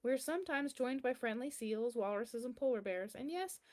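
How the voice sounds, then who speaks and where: A woman's voice: fairly high pitch, lively intonation, moderate pace, a little mellow, close to an everyday voice. A woman voicing a kindly, very old man who answers a child's letters in a storybook, reassuring and explanatory. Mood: cheerful, gentle. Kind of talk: children's storybook read-aloud.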